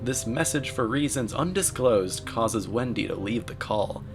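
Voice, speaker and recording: A man delivering scripted commentary in a deadpan, mock-serious manner. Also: medium pitch, measured pace. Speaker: narrator, video commentator. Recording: voice-over with music underneath. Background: music.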